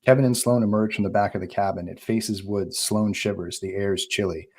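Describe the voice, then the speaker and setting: A man with a low voice reads stage directions for a script table read. He delivers them as narration, in short, terse phrases that set each scene. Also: steady tone, brisk, darkish tone, slightly rough.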